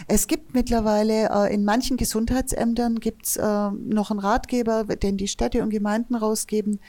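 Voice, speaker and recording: A woman's voice: a little high, level tone, normal speed, mellow. A woman giving thorough interview answers about health literacy, explaining with everyday examples.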